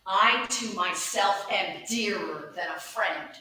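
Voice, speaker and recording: A woman playing a conflicted, treacherous young gentleman lover, declaiming a Shakespeare soliloquy deliberately. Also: mid-range voice. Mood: torn, brooding. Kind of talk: Shakespeare soliloquy, stage acting. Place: indoor staged reading, recorded from audience distance. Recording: echoey hall, distant mic, faint noise.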